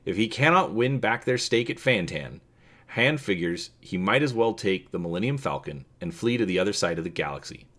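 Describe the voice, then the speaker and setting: A man reading a story aloud as a narrator, in an expressive, theatrical manner. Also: on the low side, fairly quick. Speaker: reader and channel host.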